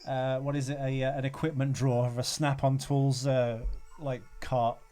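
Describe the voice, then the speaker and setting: A man's voice: medium pitch, level tone, normal speed, dark tone. A man co-hosting a podcast, chatting casually about a film with a teasing, sweary manner. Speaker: podcast host.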